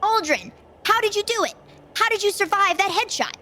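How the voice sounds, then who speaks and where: Woman acting a high, bright, intense female warrior. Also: animated, moderate pace, slight background noise, full character voice. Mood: urgent, demanding. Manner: forceful, urgent. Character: intense female space warrior demanding answers.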